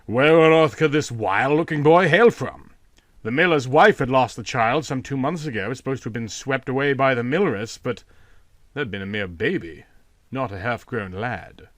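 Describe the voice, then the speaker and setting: A man reading a literary short story aloud as an expressive narrator. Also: mid-range voice, normal speed, no echo.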